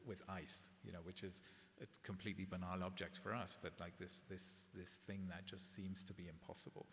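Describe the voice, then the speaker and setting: A man curator in a public gallery conversation, talking in a relaxed, conversational way, often checking in with "right?". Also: low voice, fairly quick. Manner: relaxed, conversational, tag questions. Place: gallery event space before an audience. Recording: echoey hall, faint hiss.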